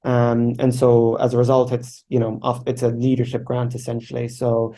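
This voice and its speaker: Irish man in his thirties, a presenter with a level, mellow delivery and loose, filler-heavy phrasing. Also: mid-range voice, moderate pace. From Ireland. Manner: relaxed, informal, many fillers like "kind of". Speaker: researcher presenting at a webinar. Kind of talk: conference presentation.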